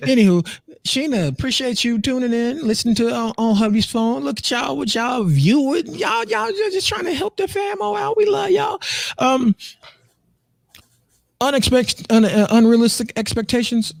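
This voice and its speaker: A man's voice: very high-pitched, lively intonation, moderate pace, creaky, no room sound. An American man in his thirties chatting casually as a co-host, joking, repeating himself and swearing freely. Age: 30s. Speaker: podcast co-host and streamer. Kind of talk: casual group chat.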